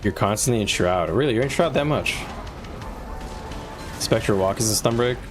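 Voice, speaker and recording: A man's voice: fairly low pitch, animated, moderate pace. A man talking casually to viewers on a live gaming stream, explaining things in an informal, conversational way. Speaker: game livestreamer. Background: music.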